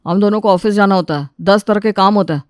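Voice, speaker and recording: A woman's voice: mid-range voice, quick pace, dry recording, clean studio sound, voice pushed down, natural voice. A woman voicing an exasperated, reluctant adult son who complains and protests in a moral story. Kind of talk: acted dialogue in a moral story.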